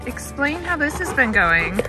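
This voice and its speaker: A woman in her thirties with a bright, high voice chats upbeat on a homestead vlog. She is recorded outdoors on a handheld phone, with music playing underneath. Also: big pitch swings, unhurried. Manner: chatty, upbeat, laughs often. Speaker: homestead vlogger. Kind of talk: casual vlog chat. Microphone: handheld phone camera.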